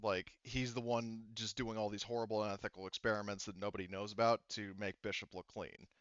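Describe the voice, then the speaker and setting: A man improvising tabletop game narration as game master, often halting and rephrasing mid-sentence. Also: on the low side, level tone, a bit bright, smooth, dry recording, muffled recording.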